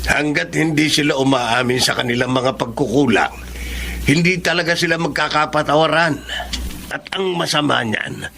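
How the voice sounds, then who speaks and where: Gravelly, troubled older Filipino father. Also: fairly high pitch, moderate pace, bright, forceful tone, a little hiss or hum. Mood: worried. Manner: grave, concerned. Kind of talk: Filipino radio drama acting.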